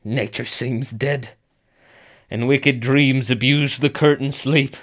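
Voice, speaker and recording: Male actor as conflicted noble schemer, slow deliberate verse, medium pitch, radio tragedy. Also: fairly even intonation, natural voice. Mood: dark, rising. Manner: deliberate, theatrical verse delivery. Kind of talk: Shakespearean radio drama acting.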